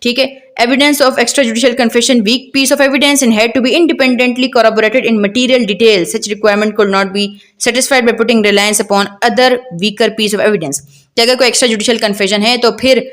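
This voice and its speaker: A woman with a Pakistani accent giving an explanatory law lecture, reading out and paraphrasing in a didactic way, often checking understanding with questions. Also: a little high.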